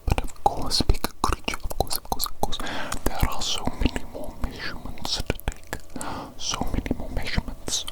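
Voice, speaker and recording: A woman whispering as a smug evil doctor. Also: natural voice. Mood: smug clinical. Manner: repeats phrases softly, slow and teasing. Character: smug, self-praising evil female doctor. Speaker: ASMR roleplay creator. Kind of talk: ASMR whispered roleplay.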